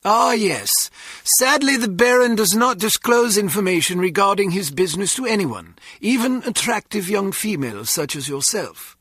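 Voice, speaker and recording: A man's voice: sits high, animated, normal speed, fairly bright tone, slightly rough, no echo, exaggerated voice. A man playing a haughty, sardonic English butler in a video game, with a British accent and dry, formal sarcasm. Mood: haughty dismissal. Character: snooty, sardonic male butler to an English baron. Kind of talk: scripted video game voice acting.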